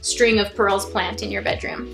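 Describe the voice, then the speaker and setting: A young woman chats her way through a home tour, upbeat and easygoing, with plenty of little fillers. Her voice sits at an ordinary middle pitch. The small rooms make it ring a bit, and soft music plays underneath. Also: steady tone, moderate pace, creaky, roomy sound, low-quality recording. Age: young adult. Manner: chatty and upbeat, with frequent fillers. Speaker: home vlogger. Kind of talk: casual house tour vlog. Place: small rooms of a house, indoors.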